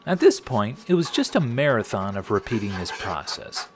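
A man's voice: medium pitch, sing-song, normal speed, noisy background. A middle-aged American man narrating a scripted woodworking tutorial in a wry, tongue-in-cheek manner. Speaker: host of a woodworking channel.

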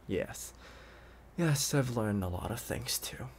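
A man playing an obsessive, playful young intruder in an ASMR roleplay, coaxing the listener like a doting owner, with hesitant pauses and hushed asides. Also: medium pitch, expressive, creaky, slight background noise. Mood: casual, doting.

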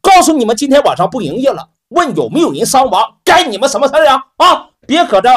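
A man's voice: very high voice, big pitch swings, fast, husky, voice pushed up, heavily acted. A man voicing an arrogant, thuggish young male hotel manager who barks orders. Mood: contemptuous. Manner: barking, bossy, shouted orders.

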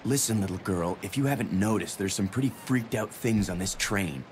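Man doing a cynical young convict in a game, really low voice, sardonic, train rumble behind. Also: a little fast, natural voice. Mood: wry, mocking. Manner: sardonic, brusque, mocking. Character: cocky, cynical young male escaped convict, tough. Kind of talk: video game cutscene acting.

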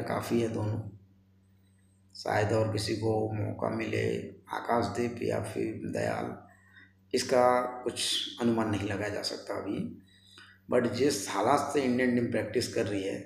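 A man's voice: fairly low pitch, measured pace, gravelly. A man, giving casual, colloquial sports commentary to camera like a vlogger chatting with friends. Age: 20s. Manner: colloquial, chatty, addresses viewers as brothers. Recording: roomy echo, faint background noise.

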